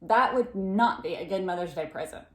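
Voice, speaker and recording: A woman's voice: medium pitch, moderate pace. Woman reading aloud to children, roomy echo. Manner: expressive, asks listeners simple questions. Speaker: read-aloud storyteller for children. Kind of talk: picture-book read-aloud with asides to young listeners.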